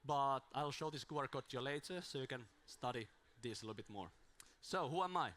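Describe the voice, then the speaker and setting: A man speaking into a handheld mic at a small conference, his voice strained from days of talking. He wanders through his points with restarts and dry, self-deprecating asides, at an everyday middle pitch. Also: expressive, brisk, fairly bright tone. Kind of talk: informal conference talk.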